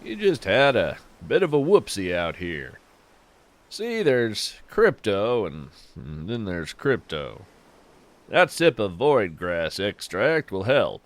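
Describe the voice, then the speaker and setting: A man's voice: on the low side, big pitch swings, takes it slowly, exaggerated voice. A man playing a folksy, unflappable naturalist who reassures with wry humor in an audio fiction podcast. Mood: folksy, reassuring.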